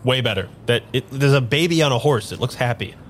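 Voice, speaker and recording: An actor playing a wistful, apologetic man without his memory in an improvised audio drama, speaking haltingly over music. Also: medium pitch, a bit bright, a little hiss or hum, close to an everyday voice. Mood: nervous, hesitant. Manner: halting, repeats himself, hesitant. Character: uneasy amnesiac man, apologetic and wistful, narrating his search. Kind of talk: improvised audio drama, first-person narration and dialogue.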